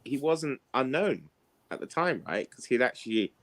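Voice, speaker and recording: A man's voice: mid-range voice, very animated, moderate pace, a bit bright. A man in a casual chat, telling anecdotes in a rambling, conversational way. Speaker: participant in an online book discussion.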